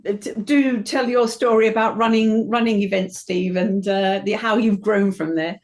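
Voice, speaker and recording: A woman's voice: mid-range voice, normal speed. Chatty British woman in her fifties. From Britain. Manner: animated, chatty, frequent restarts and fillers. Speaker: guest, professional voiceover artist. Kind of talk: interview answers.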